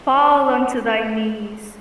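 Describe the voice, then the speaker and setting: A woman's voice: a little high, takes it slowly, a bit bright. A young woman playing a stern messenger of God in a radio drama, solemn and commanding in archaic speech. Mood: solemn judgement. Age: young adult. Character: stern heavenly messenger pronouncing a curse. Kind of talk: old-time radio drama acting. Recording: noisy, cavernous echo, distant.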